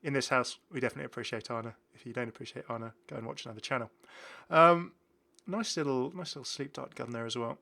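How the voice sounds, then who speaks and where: A man's voice: mid-range voice, quick pace, vocal fry, pushed and bright. A man presenting a gaming video in rambling, casual commentary, chatty and enthusiastic as he goes off on tangents.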